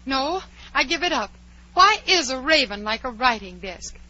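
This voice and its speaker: Casting: proper, inquisitive little girl, very lively bright delivery, vintage radio drama. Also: high voice, normal speed, full character voice. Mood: curious. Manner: proper, precise, indignant. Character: curious, prim, polite but outspoken little girl. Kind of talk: 1940s radio drama acting. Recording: dull, noisy old radio recording, hum.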